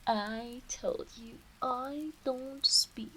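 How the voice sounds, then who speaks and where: A woman playing a teasing, doting, motherly kitsune witch in an intimate ASMR roleplay, cooing in a breathy, whispery way. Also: high voice, steady tone, very slow, bright, forceful tone, heavily acted, low-quality recording. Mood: teasing, possessive.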